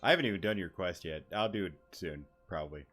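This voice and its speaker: A laid-back woman gamer with an extremely low voice. She comments in a blunt, slangy way, with faint game music behind her. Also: animated, fast, a bit bright. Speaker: gaming YouTuber. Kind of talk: casual reaction commentary over gameplay.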